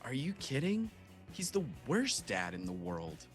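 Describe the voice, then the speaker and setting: A man's voice: a little high, wildly swooping pitch, a little slow, music in the background. A man playing a savvy, blunt young boy, a jaded little brother, in a live comedic table read. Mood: bitter.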